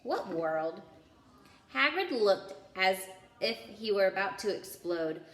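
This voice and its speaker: Animated, bright-voiced woman reading a story. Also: mid-range voice, measured pace. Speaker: storytime reader. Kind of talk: expressive children's book read-aloud. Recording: roomy indoor echo.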